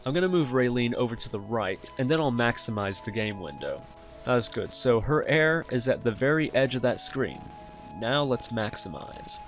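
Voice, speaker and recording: A man hosting a software tutorial, explaining each step in an instructional way. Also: medium pitch, lively intonation, normal speed, soft background music. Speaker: tutorial host. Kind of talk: software tutorial narration over screen recording.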